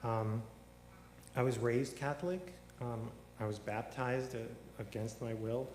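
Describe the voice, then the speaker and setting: A man's voice: medium pitch, fairly even intonation, unhurried, darkish tone, slight background noise. A man speaks at a lectern in a large sanctuary, loose and rambling, full of ums, restarts and dry little jokes. The room is echoey with a long reverb, and faint ambience sits underneath. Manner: rambling, many ums and restarts, wry humor. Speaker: congregant telling his conversion story. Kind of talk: informal testimony at a service.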